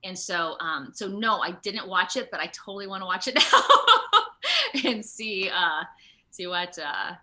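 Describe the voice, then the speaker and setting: An American woman chatting casually as an interview guest, telling stories in an animated way. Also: on the low side, unhurried. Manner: animated storytelling, laughs often, acts out moments. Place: remote video call.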